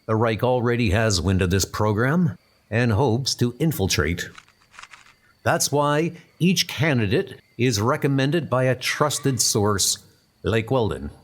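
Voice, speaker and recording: A formal secret service chief from a spy comic, acted out by a man. He talks slowly and courteously, with animated emphasis. He sounds official and guarded. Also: medium pitch. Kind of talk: comic dub spy drama acting.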